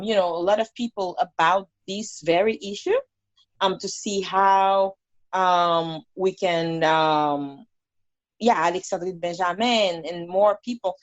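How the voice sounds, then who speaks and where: A woman with a Haitian accent talks through an interview in a lively, storytelling way, often restarting sentences. Her voice sounds dull and lo-fi, and the recording is dry, with no room sound. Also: fairly low pitch, dry recording. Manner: animated storyteller, many fillers and restarts. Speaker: guest. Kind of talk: conversational interview.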